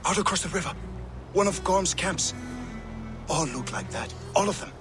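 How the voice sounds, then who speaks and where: An actor playing an eccentric, eager, jittery Norse outcast in a video game, halting and sing-song, repeating himself. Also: male voice, high-pitched, animated, over music. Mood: conspiratorial. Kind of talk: video game character acting.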